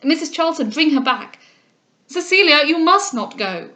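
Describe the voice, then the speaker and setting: A woman voicing a frantic, pleading young gentleman in love, with vehement and urgent exclamations in a dramatic audiobook reading. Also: sits high, lively intonation, normal speed, pitched above the performer's own voice.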